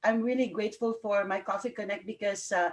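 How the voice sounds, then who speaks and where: A woman's voice: mid-range voice, fairly even intonation, brisk. A woman hosting a live online talk show, bubbly and laughing often, with many fillers. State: sleepy. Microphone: video call.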